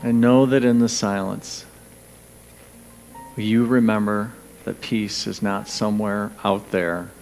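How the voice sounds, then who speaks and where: Man, guided meditation, low creaky voice, gentle and soothing, music underneath. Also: steady tone, poor audio. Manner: soothing, gentle, spaced short phrases with pauses. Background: background music.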